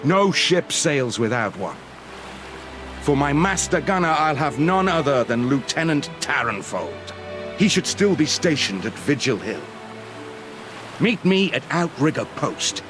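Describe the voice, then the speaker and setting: A man's voice: mid-range voice, very animated, a little slow. An actor playing an earnest male ship captain recruiting a crew in a video game cutscene. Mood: resolute. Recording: orchestral music behind the voice.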